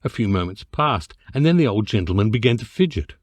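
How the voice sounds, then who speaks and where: A man reading a comic literary story as an amateur narrator, theatrical and expressive with mock dignity. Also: lowish voice, fairly quick, no room sound. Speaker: amateur voice actor reading an audiobook excerpt.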